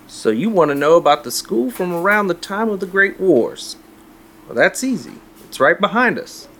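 An actor playing a middle-aged small-town cafe cook in an audio drama, helpful, folksy and plain-spoken. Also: male voice, sits high, very animated, normal speed, fairly bright tone, slight background noise, heavily acted.

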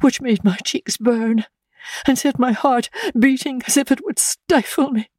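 A woman voicing a frightened, gentle young wife who pleads in distress, in a dramatic reading of a classic novel. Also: sits high, measured pace, no echo, pitched above the performer's own voice. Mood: anxious, wounded.

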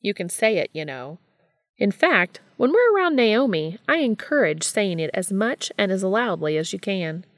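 A woman's voice: medium pitch, sing-song, normal speed, dry recording, clean studio sound, close to an everyday voice. A woman doing a brash, teasing older brother. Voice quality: loud and teasing.

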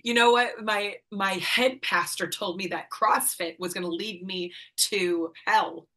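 A woman's voice: mid-range voice, unhurried, bright, forceful tone. A middle-aged woman from northern Illinois, talking candidly and casually as a podcast guest, laughing often and swearing freely. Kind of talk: casual conversation.

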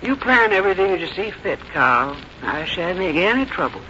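An actress playing a plain-spoken old country mother, folksy, wry and quietly determined, in 1950s radio drama style. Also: on the low side, sing-song, moderate pace. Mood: resigned, tender. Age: older. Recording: dull, noisy 1950s radio recording.

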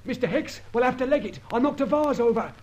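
An actor playing a nervous, hesitant thief's sidekick with colloquial speech in an old radio drama. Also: male voice, extremely high pitch, lively intonation, fast talker. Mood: scared. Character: nervous, plain-spoken male sidekick to a gentleman thief. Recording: noisy old radio recording with clicks.

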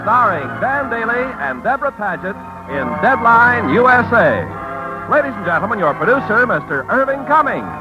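A male radio announcer from the 1950s proclaims theatrically. His voice is high and swooping, with loud organ music behind it. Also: very animated, normal speed, lo-fi sound.

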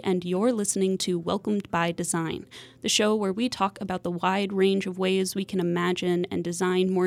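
A woman's voice: medium pitch, vocal fry. A woman reading a scripted podcast introduction as host.

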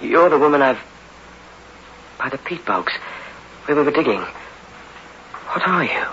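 Muffled hissy old radio play, young runaway convict, desperate and halting, bright strained edge. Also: male voice, a little high, big pitch swings, full character voice. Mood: bewildered, urgent. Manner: halting, anguished. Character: desperate, bewildered young escaped convict, protesting innocence. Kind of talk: 1960s radio horror drama acting.

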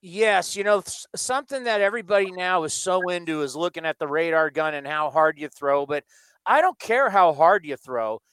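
A man's voice: fairly high pitch, expressive, normal speed, fairly bright tone, clear, resonant tone, no room sound. A male radio broadcaster interviewing a coach from a stadium press box, chatty and enthusiastic.

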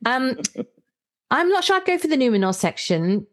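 A woman's voice: high-pitched, sing-song, normal speed. A woman hosting a chatty book discussion in a playful, enthusiastic manner. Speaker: podcast host and interviewer. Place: remote call.

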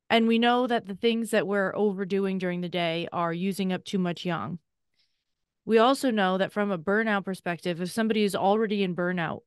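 Chatty, enthusiastic woman with a smooth voice. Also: medium pitch, no room sound, clean studio sound. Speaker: podcast co-host, Chinese medicine practitioner. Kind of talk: conversational explanation.

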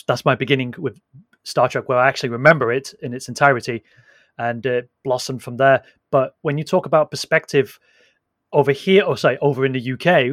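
A man who grew up in Hong Kong, Spain and England, giving relaxed, reflective answers as a podcast guest. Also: medium pitch, dry recording, clean studio sound. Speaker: podcast guest, audio drama creator. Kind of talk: casual interview answers.

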